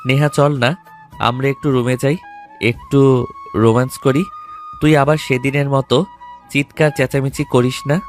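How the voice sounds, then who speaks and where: A man's voice: medium pitch, fairly even intonation, moderate pace, long pauses, soft background music, close to an everyday voice. A man playing a coaxing young lover in an audio love story. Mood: scheming, coaxing.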